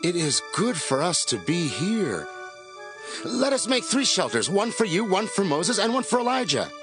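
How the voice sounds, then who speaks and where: An actor playing an eager, earnest disciple in a dramatised scripture recording. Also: male voice, sits high, wildly swooping pitch, moderate pace. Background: music.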